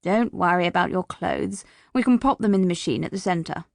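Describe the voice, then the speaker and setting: A woman voicing a stern but kindly female teacher in a storybook, with a brisk, bossy, scolding manner. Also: lowish voice, vocal fry, no room sound, studio quality. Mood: brisk, reassuring.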